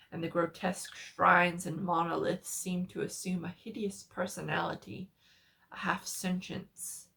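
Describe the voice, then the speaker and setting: Slow, creaky-voiced woman narrating horror. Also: lowish voice, fairly bright tone. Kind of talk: dramatic reading of a horror story.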